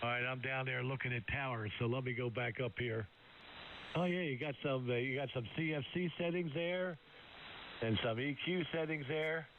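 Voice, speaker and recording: A man with a gravelly voice chatting casually over amateur radio, rambling and halting with lots of fillers. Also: medium pitch. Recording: tinny radio link with hiss.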